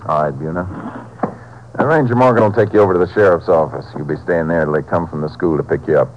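An actor playing a steady, businesslike Texas Ranger in a 1950s radio police drama, clipped and matter-of-fact. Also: male voice, low voice, quick pace, dull audio, slight background noise, natural voice. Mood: firm, calm. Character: steady, businesslike male Texas Ranger narrating his case. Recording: old 1950s radio recording.

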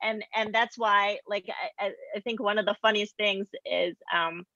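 A woman's voice: mid-range voice, fairly even intonation, moderate pace, bright, forceful tone, no echo. Laughing woman, dry muffled sound. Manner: laughs while talking. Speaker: actress on a panel. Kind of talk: casual anecdote. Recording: dull, dry audio.

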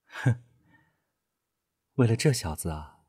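A man's voice: on the low side, lively intonation, takes it slowly, warm tone, husky, full character voice. A man playing an enigmatic, world-weary figure in an audio drama, musing as he speaks. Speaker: voice actor. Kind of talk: modern Chinese audio drama acting.

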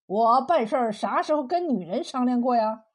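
A woman storyteller voicing a scheming, bullying village husband in a mocking, forceful manner. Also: on the high side, lively intonation, moderate pace. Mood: sly, mocking.

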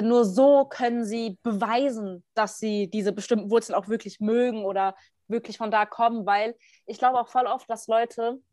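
Opinionated young woman, fairly high dry voice. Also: darkish tone, no room sound. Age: young adult. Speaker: participant in a group talk. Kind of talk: casual opinionated chat, youth slang.

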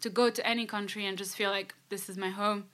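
A woman's voice: medium pitch, level tone, fast, fairly bright tone. A young woman from France, a life coach, chatting casually as an interview guest with many fillers and quoted thoughts.

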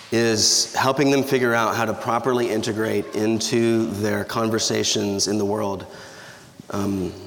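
Scratchy-voiced pastor in an echoey church. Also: male voice, lowish voice, a bit bright. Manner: conversational, humorous, with restarts. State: scratchy voice from allergies. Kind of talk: sermon. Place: church sanctuary. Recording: echoey church hall with reverb.

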